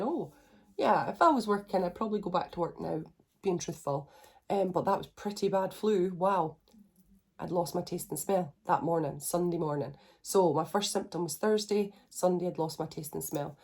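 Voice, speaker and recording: A woman in her thirties speaks through a blocked, stuffy nose in a casual video diary. Her voice sits a little low, and she talks in a chatty, rambling, self-reassuring way. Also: a little mellow. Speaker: vlogger.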